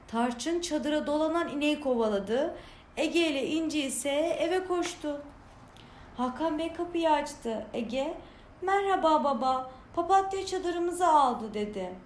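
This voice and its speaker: A woman reading a scripted children's story aloud as storyteller, with an expressive delivery. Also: high-pitched. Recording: roomy echo, clear background noise.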